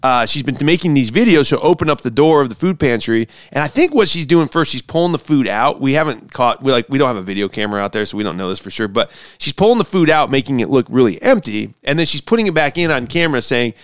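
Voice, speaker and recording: A man, a pastor and show host, chatting casually and telling stories in an animated way, acting out quotes. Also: medium pitch, fast, few pauses, no echo, studio quality. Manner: animated, acts out quotes mockingly. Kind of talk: casual chat and storytelling.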